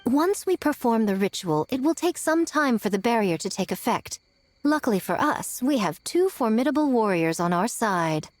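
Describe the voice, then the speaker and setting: Self-assured fox shrine woman for a game, high animated voice, eloquent, sly, over music. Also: normal speed, no room sound. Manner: poised, teasing, eloquent. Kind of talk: scripted video game voice acting. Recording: music behind the voice.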